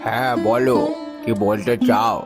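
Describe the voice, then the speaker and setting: A man's voice: medium pitch, steady tone, measured pace, close to an everyday voice. A man voicing a village character in a cartoon folktale, with theatrical, questioning delivery. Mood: challenging. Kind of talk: cartoon moral-story voice acting. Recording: loud music under the voice.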